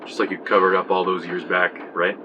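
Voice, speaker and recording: Guy playing doomed brooding young man, deep voice, audio drama narration, noisy ambience. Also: little pitch movement, brisk, lo-fi sound, close to an everyday voice. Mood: bleak, ominous. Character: haunted, fatalistic young man confessing what happened. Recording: clear ambient noise behind.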